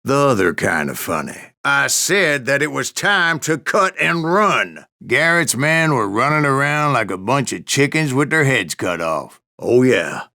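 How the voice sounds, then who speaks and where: A grizzled old gunslinger from a Western game, spinning tall tales in a salty American drawl. The voice is gravelly and forward, with a hard bright edge. It rises and dips with wry, boastful storytelling. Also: male voice, mid-range voice, sing-song, unhurried, hardly any pauses, heavily acted. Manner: folksy, sardonic, salty. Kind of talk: dramatic first-person storytelling.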